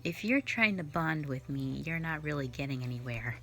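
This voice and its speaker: A woman's voice: deep voice, lively intonation, normal speed, gravelly, fairly bright tone, soft background music, heavily acted. A woman voicing a cold, sardonic female slayer who is not human, talking in a dismissive, mocking, blunt way in an audio roleplay drama. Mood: scornful.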